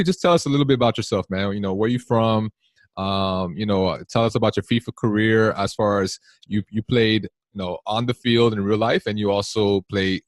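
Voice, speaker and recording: A man hosting a casual podcast, rambling warmly with many fillers and restarts. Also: on the low side, no echo. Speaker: podcast host.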